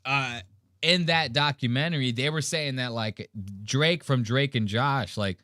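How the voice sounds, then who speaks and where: Male livestream reactor, average pitch, dry sound. Casual, sweary, mocking commentary full of slang. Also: unhurried, pushed and bright, clear, resonant tone, no room sound. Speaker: live stream host.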